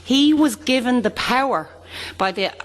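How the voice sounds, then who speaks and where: A woman's voice: on the high side, expressive, a little slow. A woman giving impassioned public testimony as a panel speaker. She speaks emphatically, with repeated phrases and rhetorical questions. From Ireland. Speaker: panel speaker, abuse survivor. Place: large conference hall. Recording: long hall reverb.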